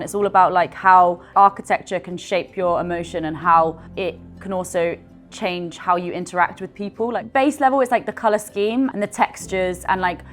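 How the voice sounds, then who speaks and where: A young woman who works as a bar manager, talking casually and informally in a documentary interview, often saying "like". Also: medium pitch. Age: young adult. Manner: chatty, informal, peppered with "like". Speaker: bar assistant general manager.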